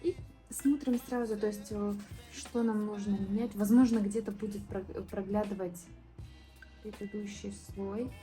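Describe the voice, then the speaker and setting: Mellow-voiced woman rambling over painting. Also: medium pitch, unhurried, soft background music. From southern Moldova. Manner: relaxed, rambling, many fillers and restarts. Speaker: art vlogger. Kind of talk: casual painting vlog voice-over.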